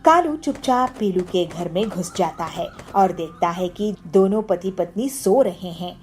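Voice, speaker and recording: A woman's voice: on the low side, lively intonation, a little slow, darkish tone, a little hiss or hum. A woman reading scripted children's story narration.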